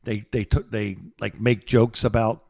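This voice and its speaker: Halting, rambling middle-aged American man. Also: lowish voice, little pitch movement, darkish tone. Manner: halting, rambling, repeats words and trails off mid-thought. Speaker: podcast co-host. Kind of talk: casual phone-call chat.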